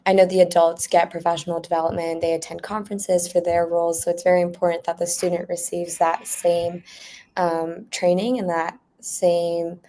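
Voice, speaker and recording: A woman's voice: on the low side, flat delivery, normal speed, mellow. A young woman answering conversationally as a student guest on an interview panel. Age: young adult. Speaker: former student board member, panel guest.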